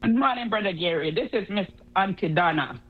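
A woman's voice: mid-range voice, lively intonation, fairly quick, slightly rough, soft background music. A woman with a Jamaican accent, chatting casually as a listener phoning a radio show. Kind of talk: casual call-in chat. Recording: dull, narrow phone-call sound.

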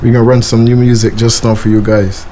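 A young man from Guyana with a Bajan accent, a soca artist giving a casual, laid-back radio interview full of shout-outs. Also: mid-range voice, flat delivery, fast talker, a little hiss or hum.

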